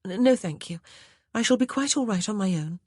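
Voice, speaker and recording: A woman's voice: medium pitch, lively intonation, fairly quick, darkish tone, husky, no room sound, studio quality, voice pushed up. A woman voicing a poised young lady of good family who speaks in formal, refined period speech. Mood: composed. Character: young genteel lady, newly married, poised.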